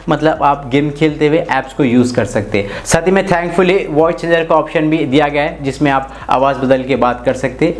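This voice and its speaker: A man in his twenties with an Indian accent, explaining phone features step by step as a tech review host. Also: a little high. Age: 20s. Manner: instructional, step-by-step. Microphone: clip-on lapel mic.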